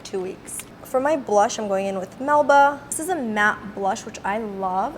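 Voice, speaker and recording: Woman, a little raspy from a cold, casual beauty-tutorial chatter, medium pitch, noticeable hiss behind her. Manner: chatty, filler-heavy, explaining each step. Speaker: beauty vlogger. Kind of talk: casual makeup tutorial narration.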